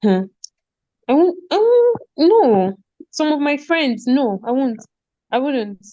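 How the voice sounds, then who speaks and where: A young Nigerian woman, a podcast guest, telling school stories in casual conversation, animated and quick to laugh, often asking "do you get?". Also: high voice, no echo, highs cut off. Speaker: podcast guest, former head girl.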